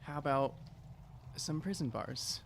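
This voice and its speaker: Medium-pitched man, deadpan in game chat. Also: unhurried, vocal fry, slight background noise. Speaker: player in a tabletop game. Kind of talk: casual improvised game chat.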